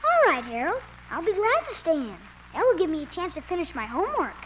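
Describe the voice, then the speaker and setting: A woman's voice: very high voice, huge pitch swings, fast, full character voice. A woman playing a polite, innocent, earnest little boy in 1950s radio comedy style. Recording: very noisy muffled 1950s radio recording.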